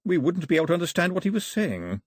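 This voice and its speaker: A man's voice: on the high side, sing-song, normal speed, breathy edge, dry recording, studio quality, voice pushed up. A man voicing a formal, self-assured, urbane male servant of the crown in an audiobook. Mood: smooth, assured. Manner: courtly, urbane. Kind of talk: audiobook character voice.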